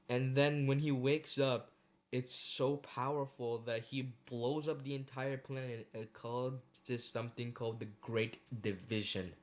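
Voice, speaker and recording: A man giving casual, rambling opinion commentary as a video creator, speaking informally with slang and some swearing. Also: mid-range voice. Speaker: video creator ranking horror series.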